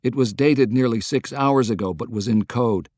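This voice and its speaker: A man voicing a dutiful, deferential army officer reporting crisply in an audiobook. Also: mid-range voice, normal speed, darkish tone, dry recording, studio quality, natural voice. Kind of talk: audiobook character dialogue.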